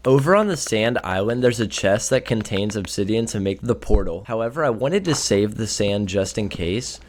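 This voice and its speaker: A young man with a slightly raspy voice from a cold, casually narrating his gameplay and sometimes tripping over his words. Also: on the low side, lively intonation, moderate pace. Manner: casual, offhand, trips over words now and then. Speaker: gaming video creator.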